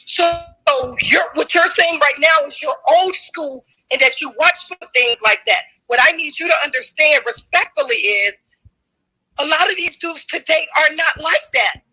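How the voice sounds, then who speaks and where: A woman's voice: high-pitched, animated, normal speed, soft background music, low-quality recording. A woman arguing in a heated debate, emphatic and challenging, and losing her voice. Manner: forceful, emphatic, challenging questions.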